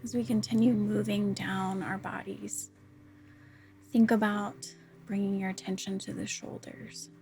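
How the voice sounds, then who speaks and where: A woman leading a guided meditation, speaking in a soft, calm, unhurried and soothing way. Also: mid-range voice, faint music behind. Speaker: counselor guiding a body scan meditation.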